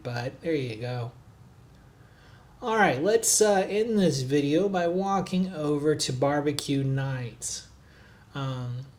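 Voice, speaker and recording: A man narrating a hotel and city tour for his travel vlog in a relaxed, chatty way, with frequent "uh" and "um" fillers. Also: fairly high pitch. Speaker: travel vlogger, hotel reviewer. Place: recorded on the road in a noisy city.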